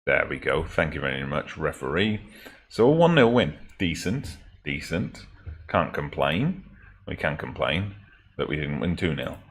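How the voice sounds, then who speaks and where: Male gaming host, extremely deep voice, slightly raspy throat, relaxed wry game commentary. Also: measured pace. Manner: chatty, self-correcting, wry asides.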